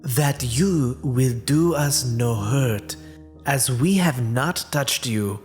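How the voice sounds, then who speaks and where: An actor playing a placating ancient envoy in a dramatized scripture reading, formal and deliberate. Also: male voice, medium pitch, a little mellow, close to an everyday voice. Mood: solemn, placating. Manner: deliberate, formal archaic delivery. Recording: music under the voice.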